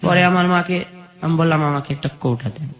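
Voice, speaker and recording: High-voiced man with a rural Bangladeshi accent. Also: a little fast, fairly bright tone. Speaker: guest telling life story. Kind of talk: personal storytelling in an interview. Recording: dull, muffled lo-fi sound.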